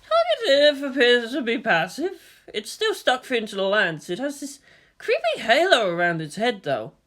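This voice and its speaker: A woman voices a nervy soldier in charge of a mech squad in a sci-fi storybook. The delivery is high, tense and animated, clipped like radio procedure but loose and irreverent. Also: normal speed. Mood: uneasy. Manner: casual swearing, clipped military radio talk.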